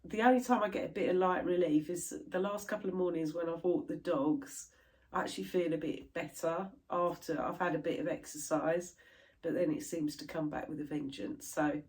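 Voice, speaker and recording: A middle-aged British woman chatting casually to camera about her crafts, sounding stuffy and a little croaky from a chest infection. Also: sits low, darkish tone. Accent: British English. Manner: chatty, rambling, laughs easily. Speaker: cross stitch vlogger.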